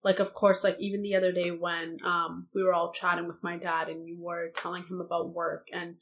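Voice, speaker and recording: A woman's voice: fairly low pitch, little pitch movement, fairly quick, lo-fi sound. Talkative young woman, assertive delivery. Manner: talkative, unfiltered, heavy use of "like". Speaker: guest. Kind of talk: casual chat. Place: living room.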